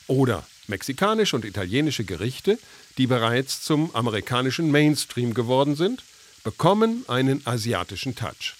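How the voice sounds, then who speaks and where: A male radio reporter narrates a scripted documentary in native German. His pitch is mid-range, and his intonation moves up and down with great liveliness. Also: big pitch swings, moderate pace.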